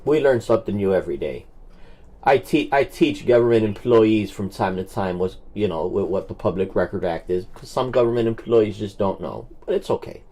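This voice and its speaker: A man with a low, very mellow, relaxed voice hosts a casual livestream. He talks in a blunt, colloquial way, often circling back to repeat a phrase as he chats or handles a call. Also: a little fast. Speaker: livestream host making records-request phone calls.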